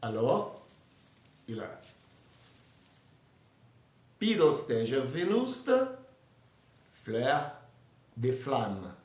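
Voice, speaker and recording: A man from Brazil with a Brazilian Portuguese accent, explaining plants in a learned language, halting with many hesitations and restarts. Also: medium pitch, wildly swooping pitch, echoey room. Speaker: botany presenter. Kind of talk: educational talk in a learned language.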